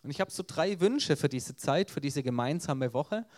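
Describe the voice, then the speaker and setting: A man's voice: on the high side, animated, normal speed, a little mellow. A man with a Swabian accent, an evangelist giving an earnest evangelistic sermon with storytelling.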